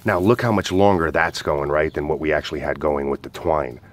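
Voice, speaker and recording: A man's voice: extremely low pitch, a little fast. A man, an outdoor skills instructor, casually explaining a fire starter while demonstrating it in his backyard, with lots of "uh" and "go ahead". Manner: relaxed, filler-heavy explaining.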